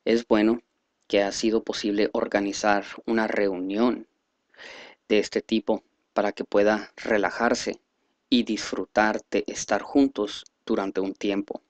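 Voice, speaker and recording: A man reading a speech aloud in a halting, careful way, stumbling over words now and then. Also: on the low side, little pitch movement, no echo. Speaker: reader presenting a selected speech.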